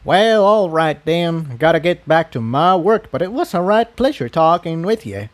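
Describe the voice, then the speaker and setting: A man's voice: on the high side, big pitch swings, normal speed, dry recording, voice pushed up. A man voicing an elderly, plainspoken rustic pony with folksy country phrasing in a fantasy story. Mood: placating, friendly.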